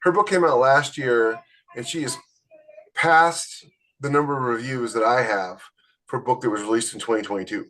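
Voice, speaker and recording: A man with an average-pitched voice chats casually as a podcast co-host. His talk is conversational, full of quick interjections and emphatic repeats. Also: a bit bright, poor audio.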